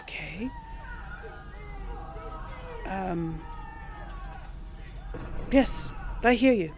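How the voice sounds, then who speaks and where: A woman's voice: mid-range voice, lively intonation, drawn-out words, a little mellow. A woman giving casual, chatty gameplay commentary, thinking aloud to her audience as she plays. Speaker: let's player. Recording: voice over game music.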